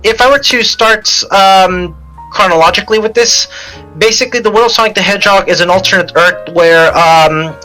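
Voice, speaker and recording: A man with a fairly high, very bright voice explains fan lore on a casual podcast. He is earnest and halting, going on at length over faint background music. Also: low-quality recording. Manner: halting, earnest, long-winded. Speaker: podcast co-host.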